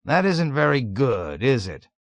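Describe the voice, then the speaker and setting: A man voicing a jovial, nervous middle-aged colonel who gushes loudly and is eager to please. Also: mid-range voice, sing-song, unhurried, no echo, voice pushed up. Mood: gushing. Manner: loud, gushing, eager to please.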